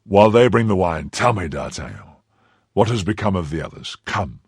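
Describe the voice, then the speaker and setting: A man's voice: low-pitched, animated, normal speed, a little mellow, breathy edge, no echo, studio quality, full character voice. A man voicing a weary, hard-drinking nobleman musketeer who speaks in a languid, dismissive way.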